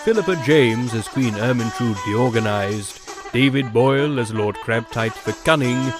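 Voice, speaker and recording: A man's voice: medium pitch, moderate pace. A man reading scripted closing credits as an announcer. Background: music.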